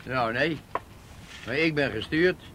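A grumbling male detective in a radio play. His voice is medium in pitch, and he speaks with emphatic scorn. There is faint noise in the background. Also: slow, fairly bright tone, low-quality recording. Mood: questioning. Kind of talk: Dutch radio play acting.

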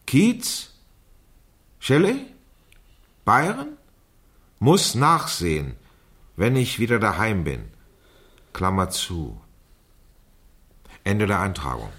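A man's voice: fairly low pitch, fairly bright tone, breathy edge, exaggerated voice. An actor playing a man who reads a teenage girl's diary aloud in a theatrical, mocking way in a radio crime play. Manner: theatrical, dictates punctuation marks aloud.

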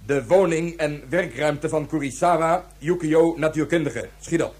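A domineering colonel from a science fiction radio play, acted by a man. His voice is husky and fairly high for a man. He clips his sentences into hard, commanding orders. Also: moderate pace, a little mellow, lightly acted. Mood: menacing, commanding. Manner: barking, commanding, clipped orders. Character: curt, domineering military colonel in space invasion.

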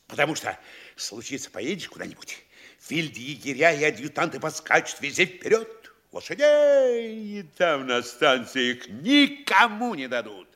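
Blustering, gloating town mayor, wildly animated. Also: male voice, medium pitch, heavily acted. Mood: gloating amusement. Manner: blustering, exclamatory, swinging from gloating glee to threats. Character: boastful, gloating provincial Russian town mayor, middle-aged family man. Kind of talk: classic Russian stage comedy audio play.

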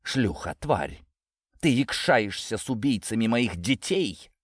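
A man's voice: lowish voice, sing-song, slow, breathy edge, dry recording, heavily acted. A stern older patriarch in an audiobook, voiced by the narrator, with a wide-ranging, commanding delivery. He is curt and indignant, and the sound is dry and close. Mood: furious. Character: stern, indignant older father, head of the family.

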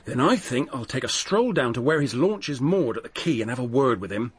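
An actor playing a polite, affable English police inspector with an English accent, courteous and probing in a radio drama. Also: male voice, medium pitch, sing-song, brisk, slightly rough. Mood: businesslike.

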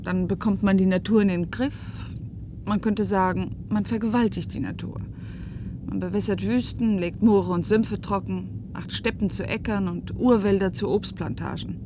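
An actress playing an earnest, tense woman fugitive in a German radio play, speaking emphatically and deliberately. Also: mid-range voice, steady tone, noisy background, close to an everyday voice.

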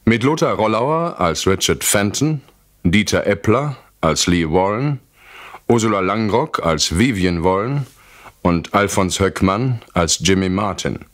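A man's voice: on the low side, expressive, unhurried, no echo, studio quality. A man giving formal, scripted announcements as a radio announcer for a drama.

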